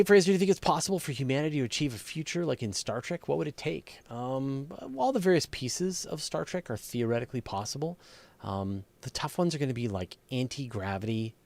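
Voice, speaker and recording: A man's voice: mid-range voice, lively intonation, moderate pace, darkish tone. A man hosting a live question-and-answer session, explaining things conversationally and thinking aloud as he answers. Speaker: host. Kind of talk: casual live question-and-answer on space and astronomy.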